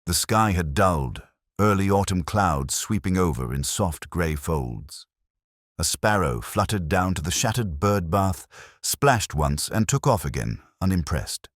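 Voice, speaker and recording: A man narrating a mystery story in a wry, measured, descriptive way. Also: deep voice, animated, no room sound, clean studio sound.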